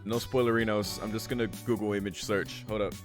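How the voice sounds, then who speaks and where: Male streamer, low register, chatty reactions and read-aloud game text, music behind. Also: steady tone, brisk. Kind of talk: casual commentary while reading visual novel text aloud.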